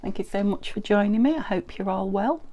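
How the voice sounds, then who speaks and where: A middle-aged woman from the UK with a croaky voice from a summer cold, chatting in a rambling, friendly way through a craft tutorial with many ums and restarts. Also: mid-range voice, expressive, a little fast, dark tone. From United Kingdom. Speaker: crafting vlogger.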